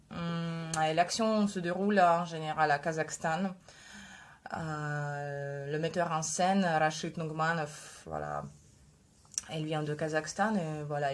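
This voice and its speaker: A woman in her twenties, a native Russian speaker, talks hesitantly with many fillers while she has a head cold. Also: fairly low pitch. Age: 20s. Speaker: vlogger. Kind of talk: casual video talk.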